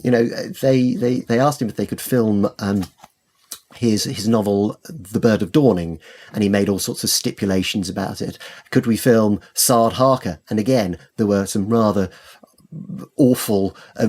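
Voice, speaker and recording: A man's voice: medium pitch, darkish tone. A man speaking as an expert interview guest, explaining things enthusiastically with hesitations and emphatic repetitions. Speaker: literary expert guest, author and editor. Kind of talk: conversational interview.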